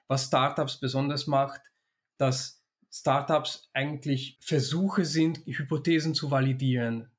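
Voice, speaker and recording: Thoughtful man explaining at length. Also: medium pitch. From Hungary. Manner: thoughtful, explanatory, frequent restarts and fillers. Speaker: interview guest, consultant and startup founder. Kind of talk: reflective interview answers.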